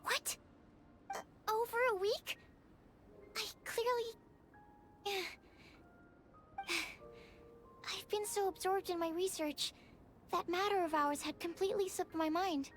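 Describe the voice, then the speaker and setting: Game role: shy female alchemist, very high voice, hesitant and studious, over background music. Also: measured pace, pauses often. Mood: flustered, embarrassed. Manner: hesitant, polite, precise wording with stammers. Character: shy, earnest young female alchemist researcher, easily absorbed. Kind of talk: English video game voice acting. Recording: music clearly behind the voice.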